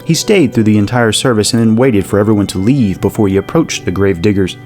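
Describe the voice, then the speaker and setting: Low-voiced man narrating a dark tale. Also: fast talker. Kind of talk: scripted horror story narration. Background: music.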